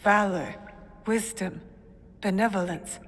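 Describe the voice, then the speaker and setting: A woman's voice: mid-range voice, fairly even intonation, slow pace, slight background noise, close to an everyday voice. A woman playing a determined, guarded young female shinobi in a video game cutscene, speaking with earnest intensity. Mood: wary musing. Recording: long hall reverb, music behind.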